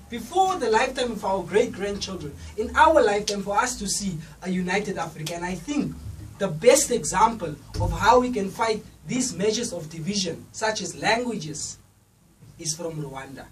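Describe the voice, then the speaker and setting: A young man, a student, giving a loud, rousing speech at an event. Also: sits high, lively intonation, moderate pace. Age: young adult. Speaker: student association speaker. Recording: distant mic, faint noise.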